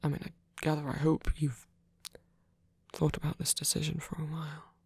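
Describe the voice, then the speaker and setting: A man playing a gentle, tender old vampire priest in an intimate ASMR roleplay, speaking slowly and hesitantly in a soft, breathy whisper. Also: on the high side, completely flat delivery, no room sound, heavily acted. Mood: earnest, vulnerable. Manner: slow, hesitant, reassuring, softly formal phrasing.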